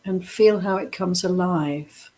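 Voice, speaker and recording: Husky, slow-paced woman guiding meditation. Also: on the low side. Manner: gentle, unhurried, pausing between short phrases. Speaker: meditation teacher leading a guided practice. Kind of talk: guided meditation, softly instructive.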